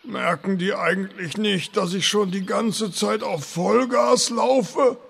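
Grumpy sarcastic turtle, high croaky raspy voice, fantasy comedy audio play. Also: male voice, takes it slowly, a bit bright, heavily acted. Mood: exasperated. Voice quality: gravelly, raspy. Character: grumpy, sarcastic, very slow male turtle mount.

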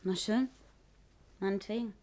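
A woman's voice: medium pitch, a little slow, dark tone, close to an everyday voice. An actress playing a curt, guarded young woman in a 1960s German radio crime drama. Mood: guarded, defensive. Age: young adult. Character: defensive, offhand young woman suspected of arson.

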